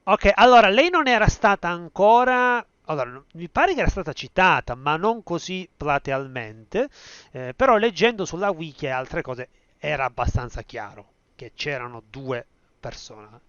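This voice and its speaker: A man's voice: fairly high pitch, expressive, normal speed, smooth, no echo, lo-fi sound. A man from Italy commentating casually over a video game, thinking aloud. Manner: thinking aloud, mumbling, uneven loudness. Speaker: streamer.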